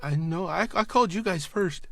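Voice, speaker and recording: A man's voice: high voice, moderate pace, creaky, fairly bright tone, dry recording. A man playing an awkward, food-obsessed caller to tech support, hesitant and earnest, in a comedy phone sketch. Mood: hesitant, sheepish.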